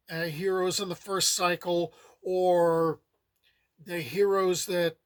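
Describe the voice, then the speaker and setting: A man with a fairly high voice gives a loose, conversational talk on old folk tales. He wanders into asides as he explains. The sound is close and dry, with no room around it. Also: fairly bright tone, dry recording. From United States. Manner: rambling and conversational, with many asides. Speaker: folklore channel host. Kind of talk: casual lecture on folklore.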